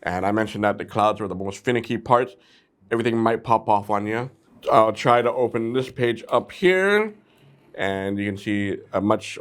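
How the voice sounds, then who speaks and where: A man hosting a casual, chatty product review, talking informally and playfully straight to his viewers. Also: on the low side. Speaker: host of a brick-set review channel.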